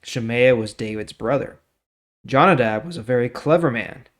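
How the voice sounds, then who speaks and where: A man with a medium-pitched voice hosts a scripture reading series. He reads in a storytelling way and adds informal, explanatory remarks in a casual manner. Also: normal speed. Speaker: host of a daily Bible reading series.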